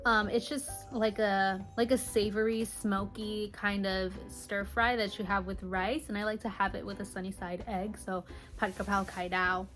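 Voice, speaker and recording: A woman's voice: medium pitch, fairly even intonation, normal speed, fairly bright tone, soft background music. A woman in her mid-twenties with an American accent, answering viewer questions in a casual, girly, slangy way. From Hawaii, United States. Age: mid-20s. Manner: informal, girly, peppered with slang. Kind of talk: casual chatty Q&A to camera.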